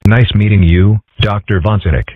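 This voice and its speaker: A man voicing a mild, thoughtful, bookish schoolboy in a comic strip dub, measured, polite and dryly deadpan. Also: lowish voice, breathy edge, dry recording. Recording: muffled, phone-like narrow sound.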